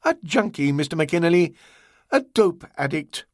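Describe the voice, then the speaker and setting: A man's voice: fairly high pitch, sing-song, normal speed, dry recording, clean studio sound, voice pushed up. A man voicing a silky, menacing crime boss. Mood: gently mocking. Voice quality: smooth, silky, quietly threatening. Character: suave, soft-spoken, menacing older male crime boss.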